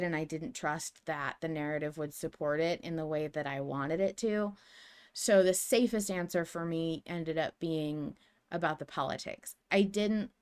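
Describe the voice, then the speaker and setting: A woman's voice: low-pitched, clear, resonant tone. A woman co-hosting a podcast in casual discussion, hesitant and self-deprecating as she talks. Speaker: podcast co-host.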